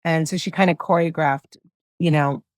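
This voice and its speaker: Low-voiced woman, rambling and casual. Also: steady tone, normal speed, dry recording, clean studio sound. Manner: loose, rambling, many fillers and restarts. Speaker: singer-songwriter guest. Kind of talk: casual interview chat.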